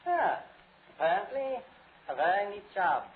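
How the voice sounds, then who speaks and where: An actor playing a suave, fussy criminal doctor with formal, insinuating courtesy in a vintage radio thriller. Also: male voice, sits high, big pitch swings, moderate pace. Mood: sly, pleased. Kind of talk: 1940s radio thriller acting. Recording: tinny, hissy old radio recording.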